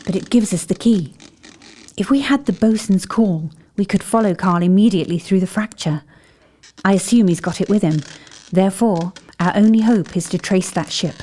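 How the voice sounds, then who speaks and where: A woman playing a poised, otherworldly investigator in an audio drama, measured, formal and grave. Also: medium pitch, dark tone, lightly acted. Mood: calm, assured.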